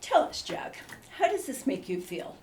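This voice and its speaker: A woman's voice: on the high side, very animated, moderate pace, a little mellow. A woman playing a polite, sympathetic interviewer in a scripted comedy mock news report. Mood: polite, attentive.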